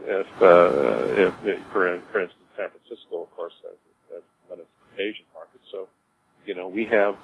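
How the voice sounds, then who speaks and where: A man answering interview questions informally as a business executive, in a halting way, repeating words as he explains. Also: deep voice. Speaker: cemetery executive being interviewed. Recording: dull lo-fi audio with clear noise.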